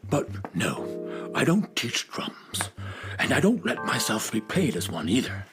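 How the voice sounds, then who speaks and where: A man playing a gentle, fretful drum who teaches singing, earnest and plaintive, in a children's radio play. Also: mid-range voice, huge pitch swings, a little slow, over music. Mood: fretful, pleading.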